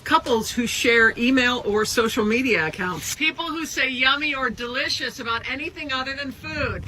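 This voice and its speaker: A woman delivering a deadpan, mock-serious comic list, with faint noise behind her. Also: fairly high pitch, low-quality recording. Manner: mock-serious, listing pet peeves. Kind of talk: deadpan comedic monologue.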